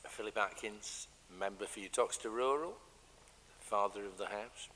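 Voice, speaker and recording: A man's voice: fairly low pitch, moderate pace, bright, forceful tone. An English man, a long-serving councillor, introducing himself in a matter-of-fact way. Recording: reverberant chamber with faint hiss.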